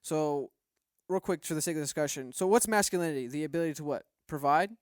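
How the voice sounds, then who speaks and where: A man's voice: fairly high pitch, quick pace, clean tone, dry recording, clean studio sound. A man co-hosting a casual discussion, questioning and steering it point by point. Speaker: podcast co-host steering discussion.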